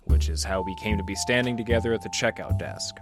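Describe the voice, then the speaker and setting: Man as world-weary radio detective, low-key wry narration, deadpan, mock-tough, prominent guitar underneath. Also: fast, natural voice. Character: world-weary hard-boiled male library detective narrating his case. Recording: narration over loud guitar music. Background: guitar music.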